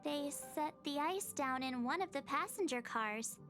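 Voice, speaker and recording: Earnest teenage girl hero from a video game, real high voice, music behind. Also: animated, unhurried, a bit bright. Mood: wistful. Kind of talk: scripted game voice acting.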